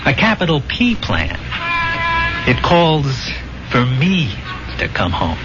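An actor playing a brash, restless dead army buddy in a 1970s radio drama, talking in slangy, insistent, streetwise fashion. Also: male voice, medium pitch, wildly swooping pitch, slow pace. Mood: earnest, insistent. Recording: muffled old radio broadcast, music behind.

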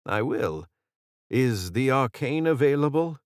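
A man voicing a composed older woman in authority, direct and measured, in an audiobook story. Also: medium pitch, lively intonation, dry recording, studio quality, voice pushed up, lightly acted. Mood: brisk, dry.

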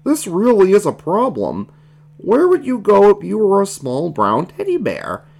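Storybook frog kid, played by a woman: high, lively, thoughtful leader who reasons things out. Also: creaky, full character voice. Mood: pondering. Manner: reasoning, measured. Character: thoughtful, sensible little boy frog leading friends.

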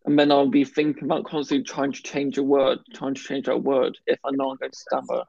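A man's voice: mid-range voice, monotone, fairly quick, gravelly, darkish tone, no room sound, highs cut off. A man in casual conversation who stammers, blocking on some words and often restarting. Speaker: guest talking about his stammer. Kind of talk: casual chat.

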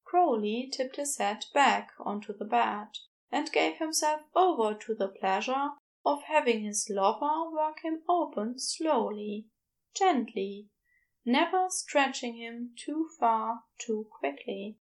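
Female audiobook narrator, higher-pitched with vocal fry, very unhurried story reading. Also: expressive, low-quality recording. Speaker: fan fiction audiobook reader.

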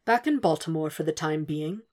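A woman reading the lines of a calm, gleeful captive killer who speaks lightly and measuredly. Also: medium pitch, moderate pace, close to an everyday voice. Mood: amused.

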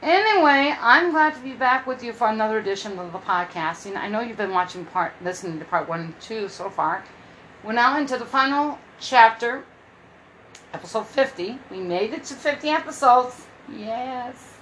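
A woman's voice: fairly high pitch, lively intonation, normal speed, fairly bright tone, a little hiss or hum, low-quality recording. A woman in her early fifties hosting a casual solo podcast from her room at home, rambling and often repeating herself. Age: 50s. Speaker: podcast host.

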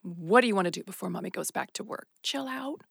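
A determined, embittered policewoman in an audio drama. Her voice is gravelly with fry and recorded very dry. She talks in a naturalistic, salty way, defiant at heart. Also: mid-range voice, lively intonation, quick pace, no echo, full character voice. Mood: weary, wry. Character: tough, embittered, determined policewoman on forced leave.

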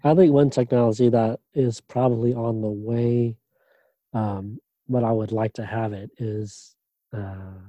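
A man, a doctoral student, answering interview questions in a relaxed way, pausing often with fillers and restarts. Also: mid-range voice, no room sound, dull audio. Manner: hesitant, many fillers and restarts.

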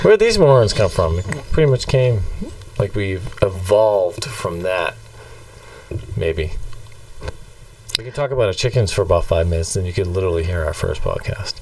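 A man's voice: low voice, very animated, moderate pace, darkish tone, recorded from a distance, slight background noise, rough recording. Rambling Boston man co-hosting a podcast. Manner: rambling, playful. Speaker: podcast co-host. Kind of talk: casual joking banter.

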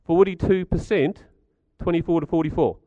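A middle-aged man with a croaky voice, giving a chatty talk to a live audience and asking them questions. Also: on the high side, normal speed, warm tone, no echo, highs cut off. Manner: chatty, stats-driven, asks the audience questions. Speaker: speaker at a community gathering.

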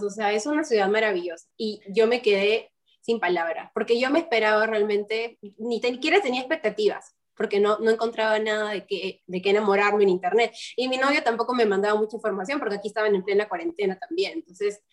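A woman in her twenties from Peru, talking through her story in a relaxed interview. She tells it with animation and acts out the voices of the people she quotes. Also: mid-range voice. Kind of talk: casual interview chat.

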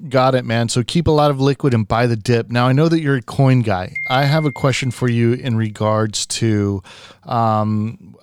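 Guy hosting a podcast, super informal chat, average pitch, dry audio. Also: normal speed, clean tone, no room sound. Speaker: podcast host, interviewer. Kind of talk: casual chat.

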